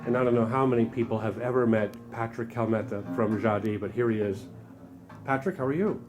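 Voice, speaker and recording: A man's voice: medium pitch, brisk. A man interviewing at an audio trade show in a casual, effusive and appreciative way. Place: indoor trade show demo room. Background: music.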